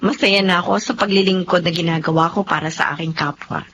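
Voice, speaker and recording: A woman playing a gentle, principled doctor in a Filipino drama, speaking in a polite, earnest, measured way. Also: lowish voice, steady tone. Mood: firm, earnest. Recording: muffled lo-fi drama recording with background noise.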